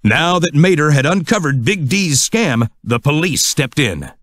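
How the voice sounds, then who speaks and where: Man for children's storybook narration: scripted, highly expressive pitch, close dry sound. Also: measured pace, dry recording.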